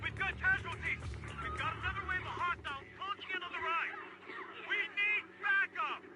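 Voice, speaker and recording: A man's voice: extremely high pitch, normal speed, music in the background, rough recording. A man playing a panicked, foul-mouthed soldier in a video game, reporting urgently from battle.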